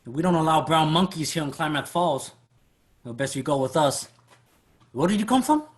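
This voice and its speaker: A man's voice: a little high, lively intonation, moderate pace, lightly acted. Actor playing a taunting, swooping racist lawman. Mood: hostile, taunting. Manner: taunting, menacing. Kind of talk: staged reading acting.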